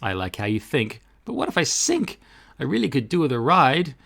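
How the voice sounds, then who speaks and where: A man's voice: medium pitch, big pitch swings, moderate pace, vocal fry, a bit bright, heavily acted. A man voicing a small, contented storybook cork that muses philosophically in rhyme. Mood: curious. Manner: rhyming, philosophical. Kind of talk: storybook character read-aloud.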